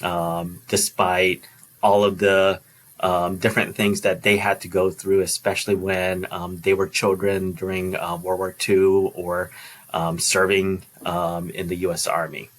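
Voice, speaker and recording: A middle-aged man and school principal giving a reflective personal talk, pausing often with ums and restarts. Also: low-pitched, flat delivery. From Los Angeles, USA. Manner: halting, many ums and restarts.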